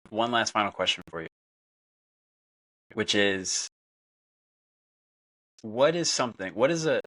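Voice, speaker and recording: A man with a fairly low, bright and forward voice hosts a casual interview. He asks questions and responds conversationally, recorded dry and close with no room sound. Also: no echo, poor audio. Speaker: podcast host.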